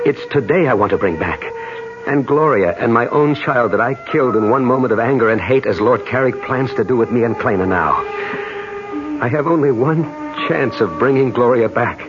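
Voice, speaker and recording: A tormented leading man played by an actor in an old radio melodrama. His delivery is intense and highly animated, swinging through his range. The sound is dull and lo-fi, with music behind him. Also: normal speed, close to an everyday voice. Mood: grim, resolved. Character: tormented man hurled into the past, obsessed and desperate. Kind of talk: old-time radio drama acting with first-person narration.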